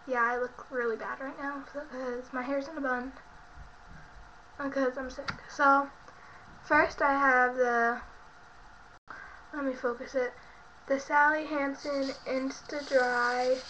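A woman's voice: high-pitched, a bit bright, a little hiss or hum. A young woman who is sick and sounds congested, chatting casually and rambling with lots of fillers in a bedroom, recorded on a webcam. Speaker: beauty vlogger. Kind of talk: casual product show-and-tell. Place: bedroom at home. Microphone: built-in webcam mic. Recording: muffled lo-fi webcam audio.

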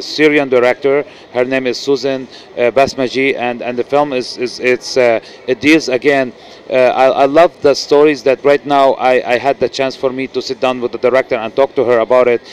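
A man's voice: medium pitch, darkish tone, slight background noise. A middle-aged man with a Lebanese accent, an actor speaking earnestly in an interview, with many restarts and fillers. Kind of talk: casual interview. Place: noisy indoor event venue. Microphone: handheld mic.

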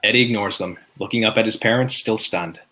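A man's voice: lowish voice, brisk, bright, forceful tone, slight background noise, low-quality recording. A man reading scripted stage directions as narrator at a table read.

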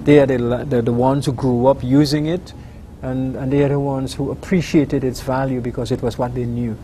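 Older host, mellow and measured, probing questions, faint room tone. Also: male voice, medium pitch, flat delivery, slight background noise. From Dominica. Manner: measured, probing, with frequent backchannel. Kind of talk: interview questions.

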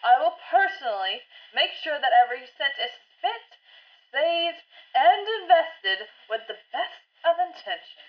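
A woman's voice: high voice, sing-song, a little slow. A woman playing a haughty, greedy, melodramatic wife in an old-time radio drama. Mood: greedy, indignant. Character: theatrical, greedy, scheming wife and stepmother in mourning. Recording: dull, band-limited old-time radio sound.

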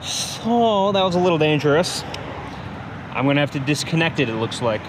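A man's voice: on the high side, very animated, normal speed. A man giving a casual hands-on how-to, thinking aloud informally as he works, recorded outdoors on an action camera. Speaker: DIY how-to video host. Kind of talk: casual hands-on tutorial narration. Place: outdoors beside a vehicle in daylight. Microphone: action camera held or mounted near him. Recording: clear outdoor background noise on action camera.

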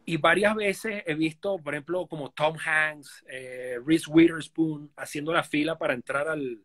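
A man's voice: fairly high pitch, steady tone, unhurried, a bit bright. A Venezuelan man in his forties, talking casually with many fillers and restarts as a guest on a live video call from his living room. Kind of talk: casual live-stream interview.

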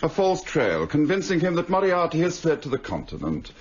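An actor playing an aloof, clever, corpulent gentleman in a radio drama, speaking with dry superiority. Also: fairly high pitch, huge pitch swings, a little fast, highs cut off. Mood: measured, superior.

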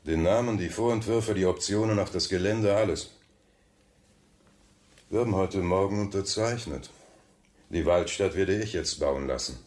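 Actor as a deep-voiced, suave wealthy banker. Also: male voice, normal speed, pauses often, a little mellow, close to an everyday voice. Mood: coolly controlling. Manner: smooth, leisurely, politely condescending. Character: suave, wealthy banker, coolly probing his rival. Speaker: actor in a radio play. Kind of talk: German radio drama dialogue.

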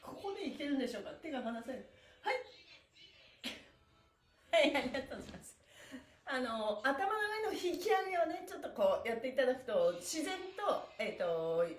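Woman stretching instructor, high voice, cheerful and encouraging, middle-aged, echoey room. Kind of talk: live-streamed exercise class with counted chants. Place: small indoor room with bare walls. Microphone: camera a few metres away. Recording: echoey room, mic at a distance.

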